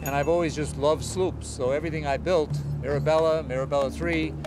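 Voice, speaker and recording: A man's voice: a little high, steady tone, fairly quick. An American man in his sixties or older, a yacht owner talking in an interview. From United States. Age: 60s or older. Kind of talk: unhurried interview talk. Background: loud music.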